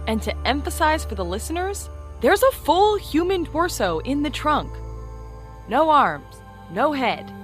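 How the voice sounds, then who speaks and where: A woman playing an earnest, prim investigative podcast host, narrating and interviewing in a measured, composed way. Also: high voice, big pitch swings, faint music behind. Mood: prim, businesslike. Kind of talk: scripted true-crime parody narration.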